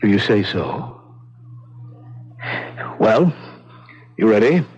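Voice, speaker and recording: A man playing a casual, plain-spoken attendant in a radio drama, with a husky voice, on a dull old recording. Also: fairly low pitch, sing-song, extremely slow, a little mellow, full character voice. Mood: matter-of-fact.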